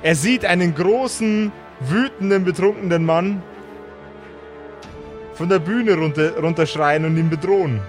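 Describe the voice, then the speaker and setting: A man's voice: sits high, unhurried, pauses often. A man narrating as game master in a dramatic, improvised storytelling style. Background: music.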